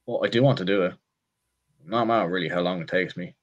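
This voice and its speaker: A man's voice: low voice, quick pace, highs cut off. A man in his twenties with an Irish accent, talking casually as an interview guest, rambling and self-deprecating with lots of restarts and "I suppose". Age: 20s.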